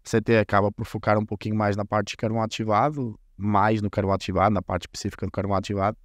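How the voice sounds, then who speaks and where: A middle-aged man from Portugal whose accent blends Portuguese and Brazilian, talking as a guest in a relaxed, rambling way with frequent restarts. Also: fairly low pitch, racing, no room sound, clean studio sound. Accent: Portuguese mixed with Brazilian. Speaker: company sales director, guest. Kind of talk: interview conversation.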